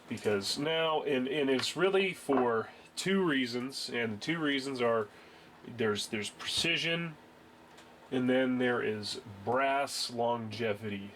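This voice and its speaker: A man's voice: medium pitch, fairly bright tone, slight background noise. A young man with a congested voice from a cold, casually explaining his process at a garage workbench, rambling with fillers and restarts. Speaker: home reloading hobbyist.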